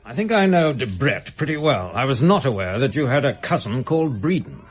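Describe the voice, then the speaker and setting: An actor playing a brusque, worldly and suspicious major in a radio drama, clipped and guardedly polite. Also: male voice, medium pitch, big pitch swings, brisk, breathy edge. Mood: sceptical. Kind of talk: British radio drama acting.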